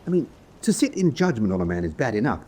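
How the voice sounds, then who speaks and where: An actor playing a conscientious, doubting German military officer in a radio drama, measured and formal in his speech. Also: medium pitch, sing-song, dark tone, slightly rough. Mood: troubled. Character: conscientious, doubting German officer presiding over prisoners' court-martial. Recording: background noise and ambience.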